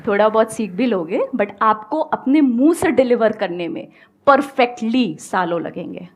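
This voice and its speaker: Lady speaker on a seminar stage, calm and slow, switches into phrases. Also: on the high side, slight background noise. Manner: calm, unhurried, mixes English phrases into Hindi. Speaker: guest speaker. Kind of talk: motivational advice on stage. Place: stage before a live audience.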